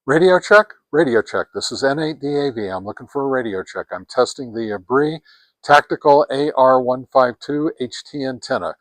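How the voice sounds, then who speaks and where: A man presenting an informal hands-on product review as an amateur radio hobbyist, speaking in a halting, hesitant way. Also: medium pitch, expressive, fairly bright tone, no echo, rough recording.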